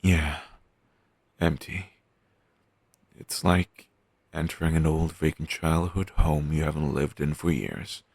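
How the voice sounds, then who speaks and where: A man acting out a resigned zombie best friend for an intimate audio roleplay. His voice is extremely low and husky, and he talks slowly in halting, deadpan phrases, morose and quick to put himself down. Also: no room sound, exaggerated voice. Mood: haunted, reflective. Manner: deadpan, halting, listless delivery with long pauses. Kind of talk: audio roleplay, intimate scripted monologue addressed to listener.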